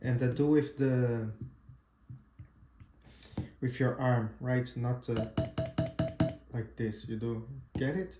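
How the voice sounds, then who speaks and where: A man whose first language is Portuguese gives a casual tutorial demo, pausing often with "uh" and restarting his sentences. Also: mid-range voice, fairly even intonation, reverberant, over loud music. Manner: halting, frequent "uh" and restarts, checks in with "right?". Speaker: amateur radio instructor.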